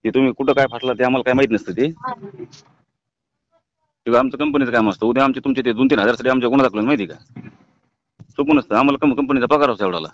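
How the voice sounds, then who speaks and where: A man's voice: medium pitch, quick pace, pauses often. A man talking informally on a phone call as a company agent, insistent and brusque. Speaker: company agent handling solar pump deliveries and payments. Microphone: telephone. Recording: dull lo-fi phone call, faint noise.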